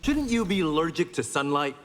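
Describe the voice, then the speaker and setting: A man's voice: a little high, big pitch swings, fairly quick. An actor playing a resolute, wry blind swordsman in a fighting game, speaking with dry confidence and determination. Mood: wry, confident. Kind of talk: fighting game character banter. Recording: long reverb, faint background noise.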